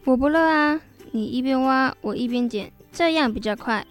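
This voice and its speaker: A woman's voice: high-pitched, moderate pace, faint music behind, lightly acted. A female performer playing a little girl, an eager and plain-spoken younger sister in a children's radio drama. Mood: protesting.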